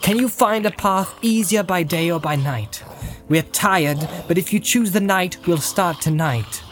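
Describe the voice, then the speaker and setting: A man's voice: sits high, unhurried, fairly bright tone, slight background noise, voice pushed up. A man voicing an earnest, weary young hobbit leader in an audiobook, speaking firmly and insistently. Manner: firm and insistent. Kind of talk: audiobook character voice.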